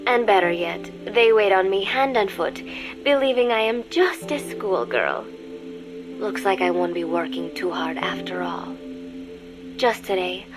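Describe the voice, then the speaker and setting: Young female spy played by an actress: composed, disdainful diary monologue over music, medium pitch, game drama. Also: close to an everyday voice. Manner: composed, contemptuous diary narration. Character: young female spy posing as schoolgirl, coldly scornful. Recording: music under the voice.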